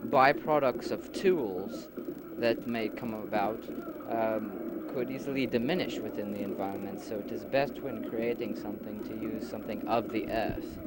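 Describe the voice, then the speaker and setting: Man acting as an earnest, halting leader, very noisy recording. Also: on the low side, natural voice. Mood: earnest, explanatory. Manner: halting, explanatory, formal wording with many hesitations. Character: earnest male leader explaining his new afterlife society. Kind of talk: amateur philosophical audio drama dialogue. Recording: noisy homemade 1990s amateur recording.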